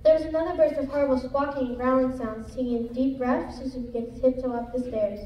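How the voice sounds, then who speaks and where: Mellow female reader, echoey and distant. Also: high voice, steady tone, quick pace. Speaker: student narrator in a reader's theater performance. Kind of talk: scripted narration read aloud on stage. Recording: echoey room, distant mic, background noise.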